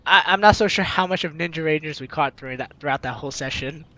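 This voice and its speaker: A woman's voice: low voice, quick pace, fairly bright tone, no room sound, highs cut off. A young woman reading a visual novel aloud as a gaming host, with theatrical, teasing asides. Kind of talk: animated let's-play read-aloud and commentary.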